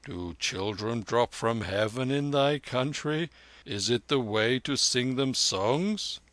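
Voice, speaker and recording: A man voicing an old, gruff and hearty veteran soldier with blunt, archaic speech in a storybook reading. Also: mid-range voice, animated, takes it slowly, fairly bright tone, dry recording. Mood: teasing.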